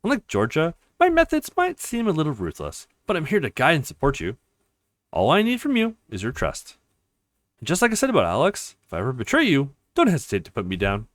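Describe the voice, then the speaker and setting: A man's voice: fairly high pitch, huge pitch swings, brisk, dry recording, clean studio sound. A man voicing a smug, manipulative female intelligence officer in a visual novel, teasing and self-assured.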